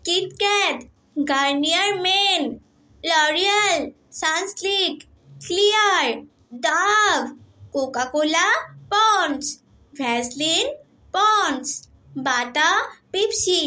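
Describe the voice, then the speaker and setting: Lady doing a tiny earnest cartoon kid, super high piercing voice, explains stuff like a little teacher. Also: sharp tone, full character voice. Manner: instructive, emphatic. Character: earnest small child teaching a boycott. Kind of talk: cartoon dialogue.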